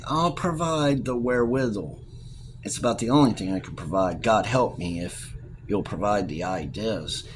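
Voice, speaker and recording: A man reading aloud and voicing an eager, restless gentleman with big plans, who talks with a brisk, upper-class enthusiasm. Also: mid-range voice, a little hiss or hum, close to an everyday voice.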